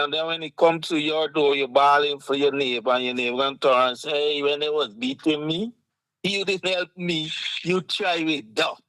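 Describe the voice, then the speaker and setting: A man's voice: on the high side, sharp tone, dry recording, low-quality recording. A man with a Guyanese Creole accent co-hosting a casual chat, earnestly explaining points with examples. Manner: earnest, explaining with examples, frequent "you understand". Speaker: co-host.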